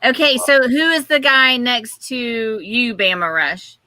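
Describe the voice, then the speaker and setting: A speaker in their forties hosts a casual group video call and keeps asking the guests friendly questions. The tone is very bright and sharp. The sound is dry and close. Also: mid-range voice, slow pace, no room sound. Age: 40s. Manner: friendly, inquisitive.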